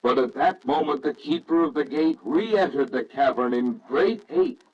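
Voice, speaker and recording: A man narrating a storybook radio drama in a solemn, reverent, measured way, with faint noise in the recording. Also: on the high side, big pitch swings, darkish tone, highs cut off.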